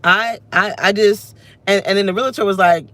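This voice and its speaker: A woman's voice: medium pitch, steady tone, normal speed, fairly bright tone. Woman, thirties, scratchy voice, emotional venting, stop-start sentences, talking into phone camera, inside a car. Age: 30s. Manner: venting, emotional, restless, self-interrupting. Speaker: vlogger. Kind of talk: unscripted personal vlog rant. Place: inside her parked car. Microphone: phone camera held close.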